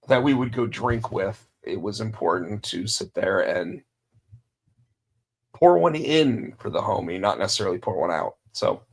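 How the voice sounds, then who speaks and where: A man hosting a casual podcast on his own, under the weather and losing his voice, rambling with lots of fillers, restarts and throat clearing. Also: fairly low pitch, animated, normal speed. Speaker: podcast host. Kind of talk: solo casual wrestling podcast talk.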